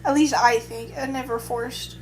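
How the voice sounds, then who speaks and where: Young woman, fairly high voice, hesitant, rambling, lots of restarts, casual vlog chat. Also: steady tone, normal speed, a little hiss or hum, rough recording. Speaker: vlogger.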